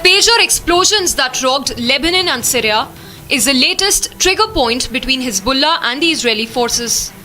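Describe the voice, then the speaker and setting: A woman's voice: sits high, animated, normal speed, bright, forceful tone, faint music behind. A woman with an Indian accent reading broadcast news as an anchor.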